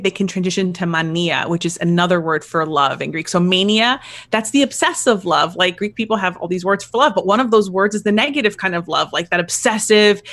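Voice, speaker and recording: A woman's voice: mid-range voice, fairly bright tone. A woman in her thirties, a matchmaker on a podcast, chatting casually and explaining with stories. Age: 30s. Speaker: matchmaker guest.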